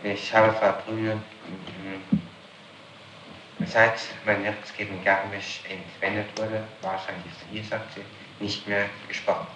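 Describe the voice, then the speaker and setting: A man formally questioning someone as an interrogator, probing and deliberate, on an old 1960s tape. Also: lowish voice, level tone, reverberant, recorded from a distance, noisy background.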